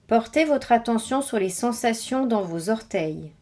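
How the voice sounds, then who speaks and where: A woman guiding a body scan meditation with measured, scripted instructions and pauses between phrases. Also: fairly high pitch, animated, dark tone. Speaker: meditation guide.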